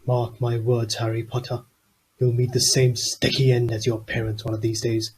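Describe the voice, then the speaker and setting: A woman acts out a very low-pitched aristocratic villain in a fantasy audio drama. He is haughty, cold and threatening, heard over faint recording noise. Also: level tone, moderate pace, a little mellow. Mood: cold menace. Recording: noisy home recording.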